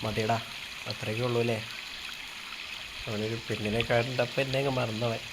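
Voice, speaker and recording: A man bantering casually as he live-streams a role-play game, teasing and confrontational, often repeating words in quick bursts. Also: mid-range voice, little pitch movement, very noisy.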